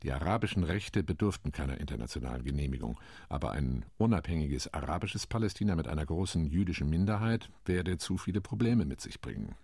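A man playing a gravelly, measured diplomat. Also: extremely low pitch, animated, a little mellow, dry recording, studio quality, heavily acted. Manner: measured, reporting. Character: sober male diplomat recounting committee talks. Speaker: UN commission delegate. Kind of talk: radio play monologue.

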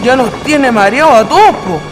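A man's voice: very high-pitched, lively intonation, moderate pace, rough recording. A man with a Chilean accent playing a grumbling, tipsy village traveller in a horror radio drama. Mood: grumbling, worried. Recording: radio play with music underneath.

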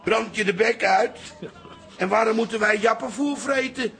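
Boastful old publican, older man, fairly high pitch, gravelly, opinionated, nostalgic, radio drama. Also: normal speed, fairly bright tone, soft background music. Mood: grumbling, indignant. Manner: opinionated, grumbling. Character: nostalgic, stubborn, boastful old former pub landlord. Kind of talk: radio comedy-drama acting.

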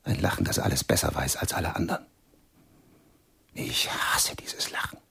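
An actor playing a bitter, wounded man in a German radio play, brooding in clipped fragments with a gravelly, breathy, half-whispered voice. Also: rumbling low, moderate pace, sharp tone, full character voice. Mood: bitter, hateful. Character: bitter, wounded man loathing a smug friend.

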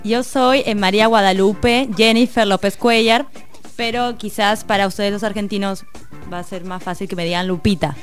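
A woman's voice: medium pitch, steady tone, normal speed, soft background music. A woman guest on a radio program, introducing herself and her background in a friendly way.

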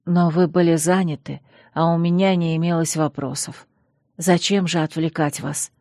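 A woman voicing a young female werewolf bodyguard, soft, reserved and polite. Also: low-pitched, fairly even intonation, moderate pace, dry recording, clean studio sound.

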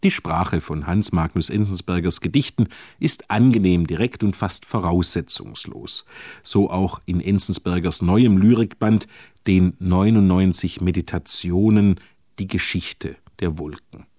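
A man with a very deep, mellow voice introduces a literary reading on the radio. His delivery is scripted, formal and measured, recorded dry and close. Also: lively intonation, dry recording.

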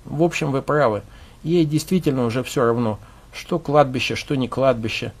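A man voicing a courteous, calm older husband who speaks with quiet resignation. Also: medium pitch, moderate pace, creaky, breathy edge. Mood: resigned.

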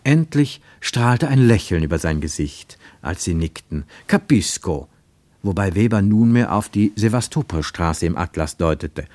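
A man's voice: deep voice, lively intonation, moderate pace, few pauses, a little mellow, no echo. A man reading a literary story as a scripted narration.

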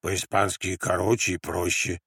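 A man's voice: low-pitched, level tone, moderate pace, pushed and bright, breathy edge, dry recording, studio quality, full character voice. A man voicing a blunt, bossy older peasant woman in an audiobook, with a curt, scolding manner.

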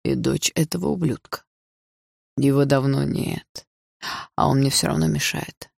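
A woman's voice: very deep voice, a little slow, vocal fry, a little mellow, no echo, clean studio sound, exaggerated voice. A woman voicing a menacing, obsessive and smug husband with an insinuating delivery in an audiobook. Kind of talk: audiobook character dialogue.

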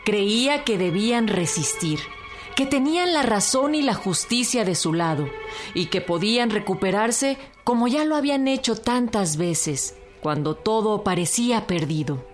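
A woman's voice: medium pitch, lively intonation, a little slow. A woman with a Mexican accent giving scripted narration for a historical radio drama. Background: music.

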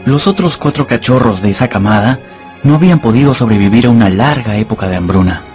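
A man narrating a radio novel in a measured, dramatic storytelling manner. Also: medium pitch, animated, darkish tone, soft background music.

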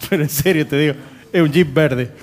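An Argentine man with a high-pitched voice co-hosts a casual comic radio chat. He is mocking and playful in the way he tells things. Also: a little fast, crowd noise. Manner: joking, mocking. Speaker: radio show host. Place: bar, live radio broadcast.

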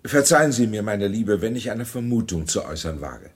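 An actor playing a genteel, fussy, middle-aged general and father in a German radio drama, courtly and husky. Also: on the low side, fairly quick. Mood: courteous probing. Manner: courtly, animated.

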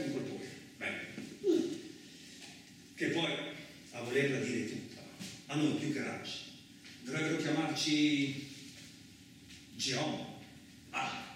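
A man's voice: a little high, close to an everyday voice. A male actor playing a boastful, rambling truck driver with touchy pride in a chatty, self-justifying radio monologue. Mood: chatty storytelling. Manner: chatty, self-justifying, punctuated with rhetorical asides. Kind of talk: theatrical radio monologue, colloquial storytelling. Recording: very echoey, distant, clearly noisy recording.

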